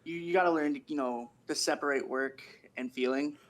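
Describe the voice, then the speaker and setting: A man talking casually on a podcast, explaining things in a measured, informal way with frequent "you knows.". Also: fairly high pitch, a bit bright. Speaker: podcast participant.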